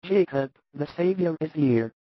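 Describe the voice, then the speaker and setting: A man voicing an anxious, devoted wife who pleads softly for her sick husband in a dramatised scripture story. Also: a little high, expressive, a little slow, no room sound, muffled recording, voice pushed up. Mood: urgent calling. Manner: soft, imploring.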